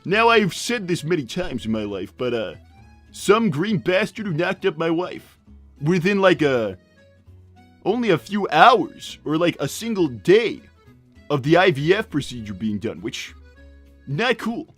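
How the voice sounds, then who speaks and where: Man voicing a brash, animated cartoon hedgehog dad. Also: on the high side, faint music behind, heavily acted. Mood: bitter, dry. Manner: rambling, halting, blunt, full of tangents. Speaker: character host reading viewer messages. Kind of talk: improvised in-character livestream comedy.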